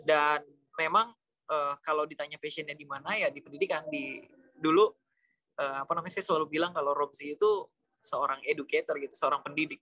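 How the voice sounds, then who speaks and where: A man from Indonesia talks as a guest in an informal storytelling way. His voice is fairly high and bright, and he moves along quickly, slipping in English words. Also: slight background noise. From Cirebon, West Java, Indonesia. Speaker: interview guest, Islamic school educator. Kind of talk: conversational personal storytelling.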